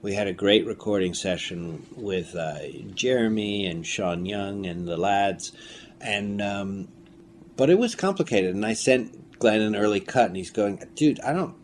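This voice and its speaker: Man, low pitch, casual interview talk, rambling storyteller, audible background noise. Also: measured pace. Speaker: audio drama creator being interviewed. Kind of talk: casual conversation, anecdotes. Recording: noticeable room background noise.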